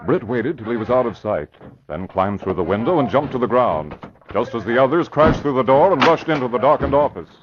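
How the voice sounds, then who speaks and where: A man's voice: mid-range voice, expressive, fairly quick, natural voice. A man narrating a scripted crime drama in 1940s radio style. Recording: dull old radio recording, crowd noise.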